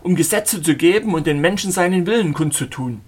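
Man doing a fervent ranting preacher, husky, pitched high and loud, audiobook. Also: animated, fairly quick. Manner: shouting, declamatory. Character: fanatical zealous male preacher, ranting.

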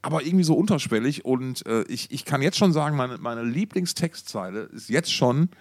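A man's voice: medium pitch, animated, fairly quick, dry recording, clean studio sound. A man chats casually as a podcast co-host, in a colloquial, effusive way full of interjections and slang. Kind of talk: casual music podcast chat.

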